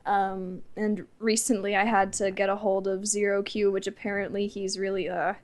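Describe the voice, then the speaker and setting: A nineteen-year-old woman chatting casually as an interview guest, with a giggly, animated way of telling stories. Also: medium pitch, moderate pace. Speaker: guest, amateur voice actor.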